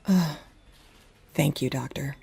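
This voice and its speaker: A woman playing a tender, worried mother in a horror audio drama, with a soft, husky voice. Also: low-pitched, slow, faint music behind, heavily acted. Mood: anxious.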